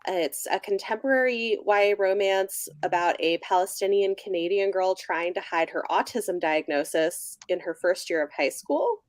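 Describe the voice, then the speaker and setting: A woman's voice: mid-range voice, normal speed, few pauses, no echo. A woman talking casually and conversationally about books. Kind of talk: casual book chat.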